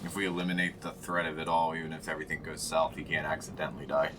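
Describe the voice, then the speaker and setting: A man's voice: a bass voice, completely flat delivery, fast, music in the background. A man talking casually as a player in a tabletop game with a group.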